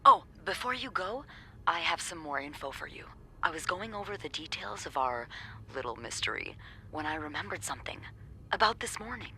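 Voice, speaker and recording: An actress playing a chatty, wry young woman working as a hotel receptionist in a video game, with playful, expressive delivery. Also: lowish voice, moderate pace, slight background noise, heavily acted. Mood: gossipy, conspiratorial. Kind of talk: video game dialogue acting.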